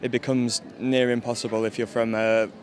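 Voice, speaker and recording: A student actor from Sheffield, interviewed outdoors, speaking earnestly. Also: male voice, mid-range voice, little pitch movement, normal speed. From Sheffield, England.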